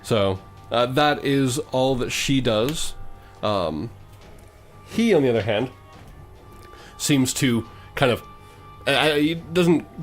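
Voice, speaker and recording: Man, mid-pitched, tabletop game master, halting improvised narration, faint background music.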